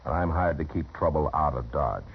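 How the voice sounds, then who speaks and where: A man's voice: rumbling low, normal speed, vocal fry. A man acting a stern, world-weary U.S. marshal in a 1950s radio western, speaking bluntly and with terse command. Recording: muffled, noisy 1950s radio recording.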